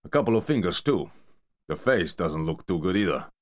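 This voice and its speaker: A man voicing a big, irritable Russian mafia enforcer who grumbles gruffly. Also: low-pitched, lively intonation, moderate pace. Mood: grumbling.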